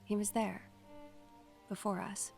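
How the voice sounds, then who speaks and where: A woman's voice: medium pitch, flat delivery, takes it slowly, gravelly, darkish tone, faint music behind. A woman playing a wistful young student who talks to spirits, in a poetic, dramatic audio drama style. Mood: haunted, wistful. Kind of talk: audio drama with poetic first-person narration.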